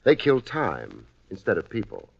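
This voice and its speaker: A man acting a wry, unflappable private detective with deadpan wit in a 1950s radio drama. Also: deep voice, unhurried, creaky, very noisy, exaggerated voice. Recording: dull old radio recording.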